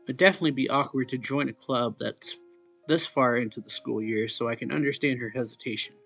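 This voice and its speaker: A man reading a visual novel aloud as a let's play host, in a halting way, stumbling and going back over lines. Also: medium pitch, vocal fry, fairly bright tone, soft background music.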